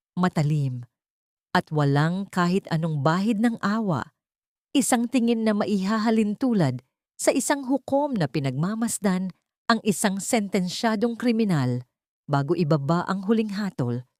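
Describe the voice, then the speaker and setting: Female storyteller for romantic drama narration, lower register, close dry recording. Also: a little mellow, no echo.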